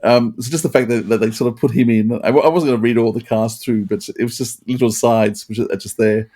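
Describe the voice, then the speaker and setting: A man hosting a casual review chat, rambling and halting, often repeating his phrases. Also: mid-range voice, steady tone, warm tone. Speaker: podcast host.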